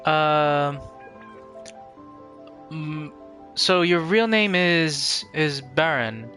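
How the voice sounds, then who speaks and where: A man hosting a live game stream, chatting casually and politely with viewers in a halting way, searching for words. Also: a little high, bright, forceful tone, faint music behind.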